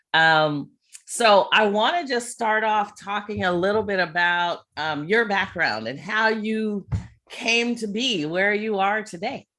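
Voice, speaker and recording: A middle-aged woman hosts a podcast interview in an easygoing, conversational way. Her voice sits at a medium pitch, and she warmly encourages her guest to tell his story. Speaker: podcast host.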